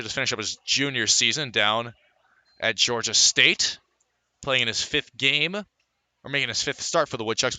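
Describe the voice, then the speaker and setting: A man's voice: medium pitch, pushed and bright, dry recording. A man calling baseball live as a radio play-by-play announcer, fluently reciting stats and lifting his delivery on each play. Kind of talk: live sports play-by-play commentary.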